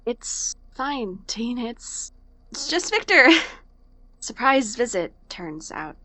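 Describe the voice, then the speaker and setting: A woman's voice: on the high side, very animated, slow pace, bright, forceful tone, dry recording, heavily acted. A woman playing a hurt, guarded young woman in an audio drama, flustered and pointedly sarcastic. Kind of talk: naturalistic audio drama acting.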